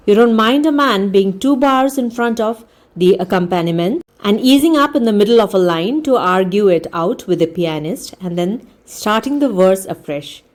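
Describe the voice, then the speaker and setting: A woman storyteller giving a deliberate, expressive read-aloud narration of a comic story. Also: mid-range voice. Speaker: audiobook storyteller.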